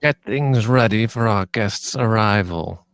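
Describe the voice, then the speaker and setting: Gravelly, imperious crime boss. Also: male voice, lowish voice, unhurried, dry recording, studio quality. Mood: cold anger. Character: cold, imperious crime boss barking orders. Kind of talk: table-read character acting.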